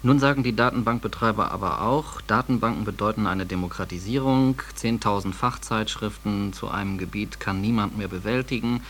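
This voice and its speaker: A man with a lowish voice conducts a journalistic radio interview. He questions in a probing, challenging way. The sound is a noisy old cassette. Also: fairly quick, few pauses, a bit bright. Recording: noisy digitized 1980s cassette.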